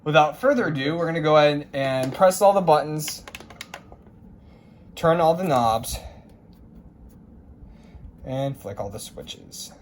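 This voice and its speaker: A man's voice: on the high side, expressive, a little slow, long pauses. A man giving a casual, showy hobbyist unboxing narration, announcing each step like a presenter. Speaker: host of a radio unboxing video. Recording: noisy room recording with ambient hiss.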